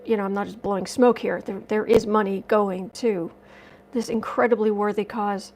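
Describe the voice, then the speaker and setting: A woman hosting a casual livestream, chatty and rambling as she reads viewer comments, her voice slightly raspy from dust allergies. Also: medium pitch, steady tone, moderate pace, darkish tone, slight background noise, poor audio. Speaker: tarot and astrology livestream host.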